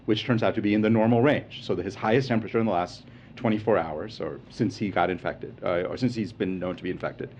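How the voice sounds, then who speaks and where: A man's voice: on the low side, fast talker, lo-fi sound, a little hiss or hum. A man answering reporters' questions at a press briefing, explaining in a reassuring way and stressing key points with energy. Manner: reassuring, explanatory, animated emphasis. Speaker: medical official at briefing.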